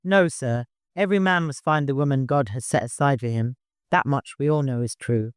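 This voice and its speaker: Guy doing a troubled quiet caravan guide for an audiobook, blunt, earnest, dry recording. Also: mid-range voice, normal speed, smooth, no room sound, lightly acted. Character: reserved, troubled, plain-spoken working man, caravan guide.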